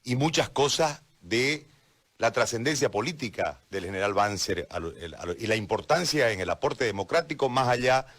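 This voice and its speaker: A man's voice: medium pitch, expressive, normal speed, a bit bright. A man with a Bolivian accent interviewing as a journalist, conversational, circling hesitantly around his question and repeating words.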